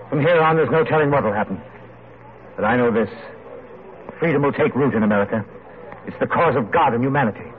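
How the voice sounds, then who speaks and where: An actor playing a poor, proud, idealistic pamphleteer, wry and earnest, in an old radio drama. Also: male voice, medium pitch, narrow, tinny audio, lightly acted. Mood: fervent. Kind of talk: 1940s-50s radio drama acting. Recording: thin, noisy old radio recording.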